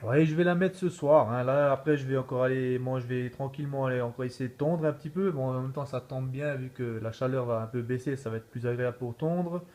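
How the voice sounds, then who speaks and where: A man's voice: mid-range voice, a little fast, few pauses, a little mellow. A man chatting casually as an amateur radio operator, in an easygoing way with frequent repeated tag words. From France. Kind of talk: casual radio contact chat.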